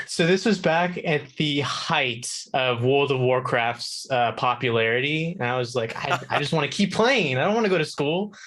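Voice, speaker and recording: A man's voice: mid-range voice, animated, normal speed, few pauses, a bit bright. A man chatting casually as a guest on a talk show, easygoing and agreeable. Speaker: guest, video game contributor. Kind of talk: casual conversation.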